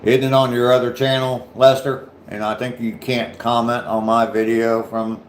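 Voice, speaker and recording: A man from Ohio with a mild southern Ohio accent and a deep voice, chatting casually on his livestream and answering viewers' comments. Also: level tone, moderate pace, reverberant, a little hiss or hum. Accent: southern Ohio, slight Appalachian touch. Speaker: livestream host.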